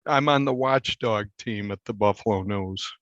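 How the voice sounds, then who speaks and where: An American man in his sixties talking casually as an interview guest, plain-spoken and unhurried. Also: fairly low pitch, a bit bright, no echo. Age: 60s. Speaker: veteran newspaper reporter, interview guest. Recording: slightly dull, lo-fi.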